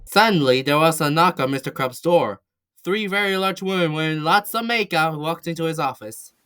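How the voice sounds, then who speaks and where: A woman's voice: deep voice, normal speed, fairly bright tone, no room sound, studio quality. A woman reading scripted narration as the storyteller of a comic children's story, with music behind her.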